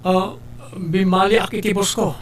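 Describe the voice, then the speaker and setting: A man's voice: high-pitched, steady tone, moderate pace, slight background noise, natural voice. A man with an Ilocano accent acting a devoted, humble older brother in a radio-style drama. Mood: tender, reassuring. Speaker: voice actor.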